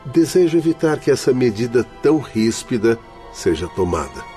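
A man's voice: medium pitch, sing-song, moderate pace, dark tone, close to an everyday voice. A man with a Brazilian accent playing a stern but courteous hospital doctor in a radio drama, formal and authoritative. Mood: firm, reluctant. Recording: music behind the voice.

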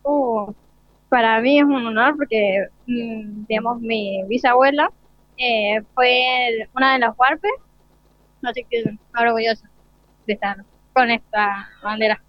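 A teenage young woman from an interview, with an Argentine accent, speaks hesitantly in a slightly high voice. The audio is dry and dull. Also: fairly even intonation, measured pace, dry recording. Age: teenage student. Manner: hesitant, searching for words. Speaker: student flag bearer being interviewed. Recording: dull lo-fi radio broadcast link.

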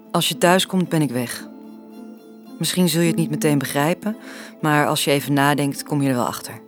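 Actress as a low-voiced, tender young woman. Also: lightly acted. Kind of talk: intimate radio drama acting.

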